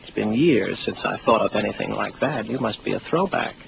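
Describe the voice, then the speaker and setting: An American actor playing a weary, skeptical space station officer in a 1950s radio drama, with a wry, detached, musing manner. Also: male voice, lowish voice, lively intonation, a little fast, gravelly. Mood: wry, needling. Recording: muffled, noisy 1950s radio broadcast.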